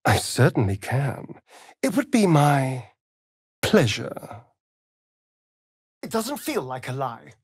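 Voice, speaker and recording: An elegant vampire rogue for a fantasy game, speaking with a British accent. He drawls unhurriedly, his pitch swooping with mockery and flirtation. The delivery is vain, arch and openly theatrical. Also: no room sound, clean studio sound, heavily acted. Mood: purring, amused.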